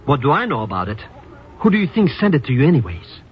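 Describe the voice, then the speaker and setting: A man plays an excitable, scheming cafe fiddler in an old radio drama. His voice leaps wildly up and down as he wheedles and puts on airs in broken grammar. The sound is muffled and hissy. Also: medium pitch, quick pace, close to an everyday voice. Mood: smug, eager. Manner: mangled grammar, wheedling, self-important. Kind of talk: 1950s radio drama acting.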